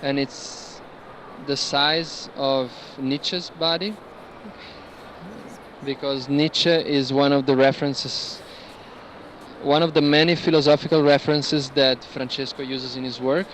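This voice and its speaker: A man giving an informal, explanatory talk on artworks as a guide, pausing to search for words. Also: medium pitch, steady tone, fairly bright tone. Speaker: curator guiding an art fair tour. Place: large indoor art fair hall. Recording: clear background noise, busy fair hall.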